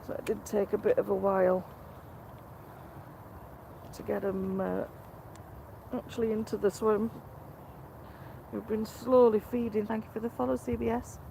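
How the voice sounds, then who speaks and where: A hoarse woman, chatting quietly to viewers on a fishing live stream outdoors by a lake. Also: mid-range voice, little pitch movement, moderate pace, pauses often, slight background noise, low-quality recording. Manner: speaks quietly, greets viewers. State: hoarse from a cold. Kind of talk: casual live-stream chat.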